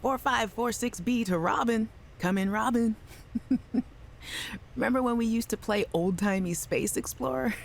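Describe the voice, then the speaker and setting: An actress playing a cheerful, affectionate young scientist leaving voice messages for a little sister in a video game. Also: female voice, fairly high pitch, a little slow, vocal fry. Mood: playful, giddy. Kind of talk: scripted audio message voice acting.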